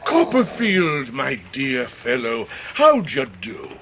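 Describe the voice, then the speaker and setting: An actor with an English accent playing a pompous, genial, hard-up middle-aged Victorian gentleman in a radio drama, with florid, theatrical delivery. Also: medium pitch, wildly swooping pitch, slow, vocal fry, full character voice. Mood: delighted surprise. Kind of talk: 1990s British radio drama acting. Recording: noisy radio drama recording.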